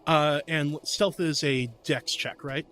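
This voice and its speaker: A man's voice: fairly high pitch, steady tone, slow. A man playing a tabletop game, casually narrating his character's moves and working through dice checks aloud.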